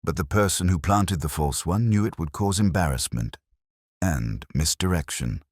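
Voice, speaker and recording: A man voicing a quiet, shrewd police inspector in an audio mystery story, speaking in a measured, deliberate way. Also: deep voice, lively intonation, breathy edge, dry recording, clean studio sound. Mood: grave, deliberate.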